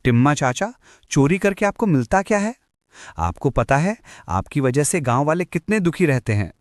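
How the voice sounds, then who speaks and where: A man voicing a clever, kind young village boy in a cartoon moral story, speaking in an earnest, gently admonishing way. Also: fairly high pitch, sing-song, moderate pace, dry recording, studio quality, voice pushed up. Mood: gently reproachful.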